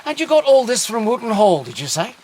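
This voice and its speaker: An actor playing a cheeky, leering, rough-mannered Victorian working man in a radio drama, teasing and colloquial. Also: very high-pitched, huge pitch swings, brisk, darkish tone, a little hiss or hum, exaggerated voice. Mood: brash, sly. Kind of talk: period radio drama acting.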